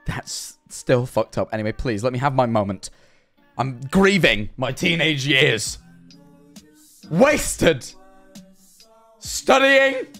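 A young man, reading viewer messages aloud on a livestream with sarcasm and a lot of swearing. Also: high-pitched. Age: young adult. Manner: animated, sarcastic, swears often. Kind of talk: reading and reacting to chat. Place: small bedroom streaming room. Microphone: headset-style studio mic, seated at desk.

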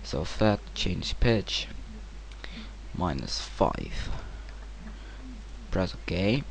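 Slow, low-voiced man giving a tutorial. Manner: hesitant, informal. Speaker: tutorial presenter. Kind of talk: casual software tutorial narration. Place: home computer screen-recording voice-over. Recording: clear steady background noise.

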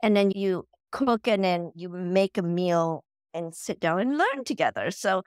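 A woman's voice: fairly low pitch, a little slow, no echo, clean studio sound. Malaysian-born woman on a video call. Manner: animated, repeats words when starting sentences. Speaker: guest, company founder. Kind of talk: casual interview chat. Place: home room, video call.

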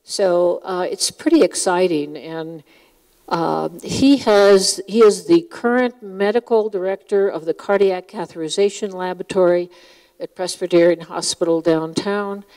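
A woman's voice: fairly low pitch, measured pace, a little mellow. A woman on a stage with a handheld mic, introducing a speaker to an audience in a large hall, casual and with a few fillers. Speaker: event host introducing speaker. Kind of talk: spoken introduction. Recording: long hall reverb.

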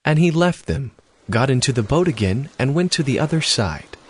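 A man's voice: medium pitch, very animated, normal speed, slightly rough. A man narrating a dramatized scripture reading as a scripted narrator.

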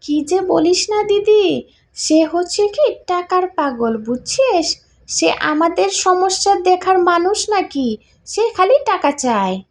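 A chatty little village girl from a cartoon. Her voice is extremely high, and she talks in a pert, spirited, childlike way. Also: expressive, a little slow. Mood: complaining. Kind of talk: cartoon voice acting.

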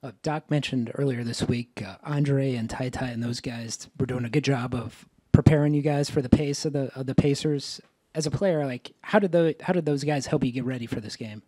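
A man's voice: mid-range voice, fairly quick, mellow, no echo. A man working as a reporter, asking conversational questions at a press conference.

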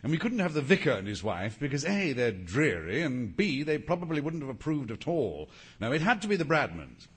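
Urbane English husband, husky, animated. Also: male voice, medium pitch, fairly quick. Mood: amused, urbane. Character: urbane, amused upper-class husband and novelist. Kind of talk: drawing-room stage comedy acting.

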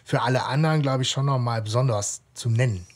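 Man, slightly rough husky voice, middle pitch, measured, explanatory, podcast conversation. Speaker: podcast co-host. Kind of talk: casual podcast discussion.

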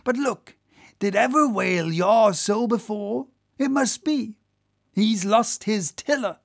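Audiobook reader doing a loud salty whaling mate, jokey, badgering the crew, pitched up and creaky. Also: takes it slowly, no echo, studio quality. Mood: jocular. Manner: exclamatory, cajoling, salty sailor talk. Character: boisterous, joking, haranguing whaleboat second mate. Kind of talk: animated audiobook character voice.

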